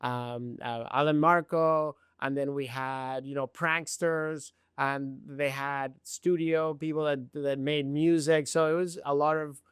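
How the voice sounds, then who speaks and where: A man hosting a podcast, asking questions and reflecting in a relaxed, encouraging way. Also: fairly high pitch, unhurried, few pauses, clean tone, no room sound, clean studio sound. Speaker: podcast host. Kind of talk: casual interview.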